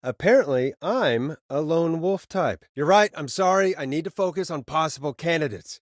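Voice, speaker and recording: A man's voice: on the high side, lively intonation, normal speed, no room sound, clean studio sound, close to an everyday voice. A man playing an earnest radio host in a video game, conversational and naturalistic, guiding people through emergencies. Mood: wry, exasperated.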